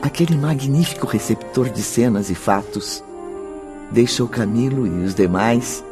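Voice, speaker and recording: A man narrating a scripted radio drama in a dramatic, measured manner. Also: mid-range voice. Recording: music behind the voice.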